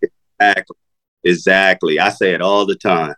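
A middle-aged man with a fairly low voice talks loosely about his music career. His manner is laid-back and unpolished, peppered with swearing and "you know, man.". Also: fairly even intonation, unhurried, pushed and bright. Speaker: rapper, interview guest. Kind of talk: casual interview talk.

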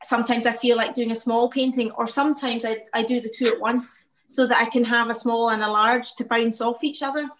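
A woman's voice: fairly high pitch, fairly even intonation, fairly quick, few pauses. A woman painter talking reflectively about her work in a casual interview, often laughing.

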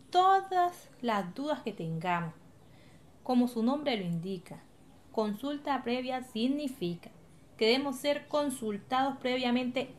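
A woman with a Colombian accent acting an encouraging, patient village leader in an educational radio drama, explaining things colloquially. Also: a little high. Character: encouraging, patient woman leading her coastal village community.

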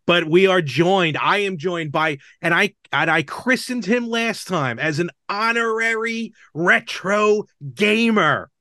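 A man's voice: high voice, slow pace, hardly any pauses, pushed and bright, dry recording, clean studio sound. A man hosting a podcast in casual, chummy, informal chat. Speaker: podcast host.

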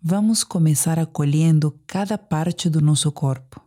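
A woman with a Brazilian accent guides a sleep meditation. Her voice sits low for a woman and has a dark, relaxed tone. She phrases things deliberately and soothingly, with gentle pauses.

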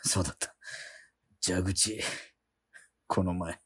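A man's voice: low voice, fairly even intonation, takes it slowly, breathy edge, full character voice. An actor playing a poor, struggling male painter in a radio drama, narrating his days and muttering wry, self-mocking asides to himself. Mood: weary. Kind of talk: radio drama inner monologue.

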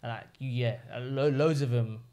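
A man's voice: mid-range voice, normal speed. A young man, a podcast guest telling stories in casual chat and acting out the people he quotes. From England. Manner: animated storyteller, acts out quoted voices.

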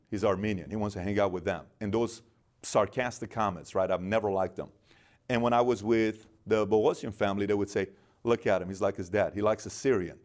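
A middle-aged man born in Iran, guest in an interview, telling animated stories and acting out the dialogue. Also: on the low side. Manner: animated storyteller, acts out dialogue in quotes. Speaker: guest, business founder. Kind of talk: storytelling interview.

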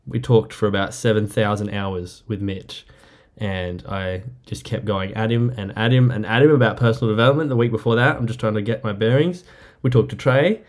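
A man hosting a casual solo podcast, rambling and upbeat, a bit nasal and croaky from the flu, recorded on a laptop mic in an apartment. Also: on the low side, a little mellow. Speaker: podcast host. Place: apartment indoors. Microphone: laptop microphone.

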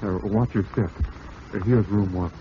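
An actor playing a smooth-talking, falsely cheerful husband with murder in mind, in old-time radio drama style. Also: male voice, lowish voice, steady tone, normal speed, lightly acted. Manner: suave, falsely cheerful, darkly ironic. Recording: dull, noisy vintage radio recording.

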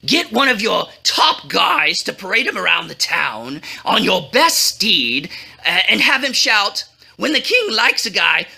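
A self-important, scheming courtier from a comic storybook, played by a woman reader. The delivery is eager and vain, in a bright, lively voice. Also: mid-range voice, creaky, husky, full character voice.

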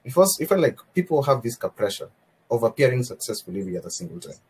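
A man's voice: medium pitch, sing-song, fast, darkish tone, breathy edge. A man making an earnest, opinionated argument on a podcast.

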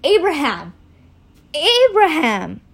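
A solemn angel from a storybook tale, voiced by a woman, calling from the heavens. The voice is high, firm and commanding, with measured declarations. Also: lively intonation.

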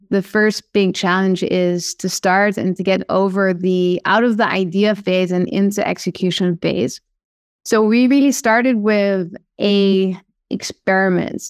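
Woman, Dutch accent, founder guest; upbeat, step-by-step explainer, dry close-mic sound, mid-pitched voice. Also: clear, resonant tone, no room sound. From Netherlands. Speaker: guest, startup CEO. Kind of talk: podcast interview.

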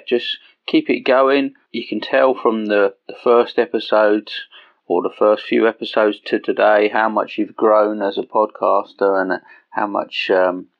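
Lower-voiced man with a gravelly creak. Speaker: podcast call-in guest. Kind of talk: casual voice message. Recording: dull lo-fi voice message.

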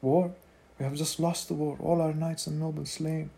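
A man's voice: a little high, fairly even intonation, normal speed, gravelly, dark tone. A man voicing a stern, grey-headed elderly town elder with a commanding manner. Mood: skeptical.

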